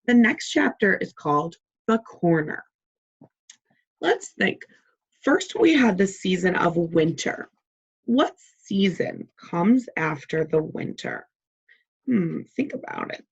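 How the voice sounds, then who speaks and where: A woman teacher doing a read-aloud for young children, deliberate and encouraging as she prompts them with simple questions. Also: lowish voice, lively intonation, vocal fry, slightly rough, highs cut off.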